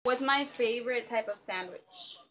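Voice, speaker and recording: A woman's voice: fairly high pitch, moderate pace, a bit bright, slight background noise. A young woman from Mexico with a Mexican accent, playfully quizzing her husband on a living room couch and teasing him with lots of laughs. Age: young adult. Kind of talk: casual couple Q&A. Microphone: fixed camera mic.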